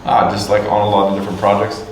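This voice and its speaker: A man from Canada answering interview questions casually, halting with many fillers and restarts. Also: on the low side, monotone. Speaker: musician and agency owner. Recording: distant mic, echoey room, faint hum.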